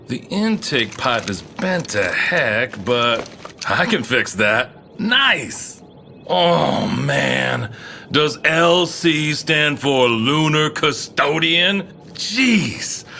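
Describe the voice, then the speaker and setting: A man playing an excitable, folksy snoop who mutters and exclaims to himself in an audio drama. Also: on the high side, vocal fry, slight background noise, full character voice. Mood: let down. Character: excitable male snoop poking around an abandoned moon base. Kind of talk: animated audio drama acting, talking to himself.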